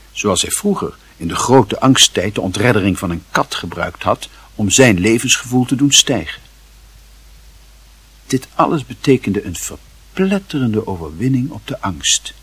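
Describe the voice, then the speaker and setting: A man narrating a literary radio play in a grave, measured manner, with a very husky, rough voice. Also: fairly low pitch.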